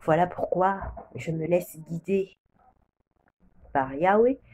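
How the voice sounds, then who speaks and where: A woman's voice: fairly low pitch, slow, darkish tone, a little hiss or hum. A woman from the Democratic Republic of the Congo giving a prophetic religious testimony with solemn, repeated declarations. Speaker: preacher sharing a prophecy.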